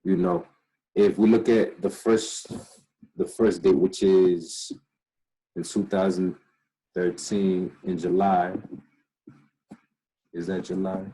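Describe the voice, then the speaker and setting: A man's voice: low-pitched, level tone, takes it slowly, long pauses, dark tone, breathy edge, poor audio. A tired young man teaching an online class, reading passages aloud and then explaining them in loose, slangy talk with slightly slurred words, recorded on a laptop video call. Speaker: instructor. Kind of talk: casual online lecture reading from web pages.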